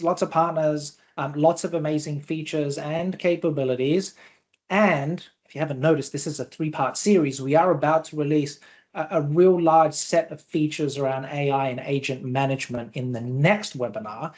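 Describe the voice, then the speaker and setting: A man's voice: fairly high pitch, a little mellow. A man with a mixed Australian and Canadian accent, hosting a live webinar in a chatty, upbeat way with frequent fillers. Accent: Australian-Canadian mix. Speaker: webinar host, company founder.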